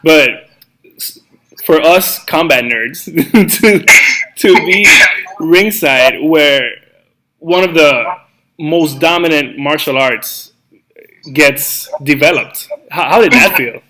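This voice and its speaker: A man's voice: on the high side, takes it slowly, noisy background. A man hosting an interview, enthusiastic and laughing along with his guest. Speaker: podcast host, interviewer. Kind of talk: casual interview conversation.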